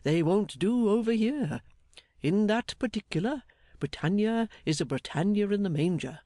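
A man voicing a jolly, blustering older English gentleman in an audiobook, fatherly, emphatic and effusive. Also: high-pitched, very animated, unhurried, no echo, clean studio sound, voice pushed up, exaggerated voice. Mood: emphatic, proud. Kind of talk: dramatised audiobook character.

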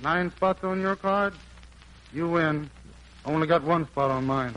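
An actor playing a cheerful, naive country wanderer with a guileless folksy drawl in a 1940s radio play. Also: male voice, fairly high pitch, a little slow, fairly bright tone. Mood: naive, eager. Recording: noisy old 1940s radio recording.

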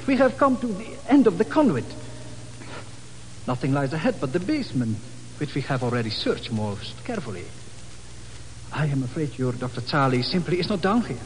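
An actor playing a formal, polite, anxious security colonel in a 1950s radio drama, with precise wording. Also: male voice, fairly high pitch, very animated, normal speed, pauses often. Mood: measured, puzzled. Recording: noisy old 1950s radio recording.

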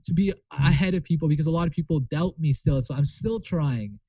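A man's voice: on the high side, fairly quick, warm tone, no room sound. A young man from Pakistan, a guest talking in a casual interview in an earnest, rambling way with many restarts. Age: young adult. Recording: muffled lo-fi sound.

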